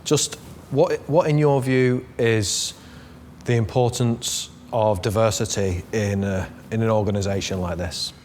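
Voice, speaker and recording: A man with a lowish voice conducts an interview, asking relaxed questions and pausing to find his words. A faint background hum runs under the conversation. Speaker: interviewer, executive director. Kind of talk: interview questions, casual talk.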